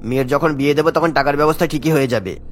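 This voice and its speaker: Stern, fast-talking scolding husband played by a man. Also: on the high side, close to an everyday voice. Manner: stern, admonishing. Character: scolding, sceptical husband and father of a grown daughter. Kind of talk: Bengali cartoon voice acting.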